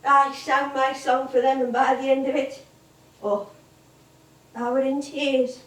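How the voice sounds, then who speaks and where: A woman's voice: high voice, flat delivery, measured pace. A woman playing an ageing English music hall actress, proud, wistful and wounded, in an emotive theatrical style. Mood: wistful delight. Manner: emotive, dramatic, reminiscing. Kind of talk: theatrical stage monologue and dialogue. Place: theatre stage, echoing. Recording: roomy theatre stage, distant microphone.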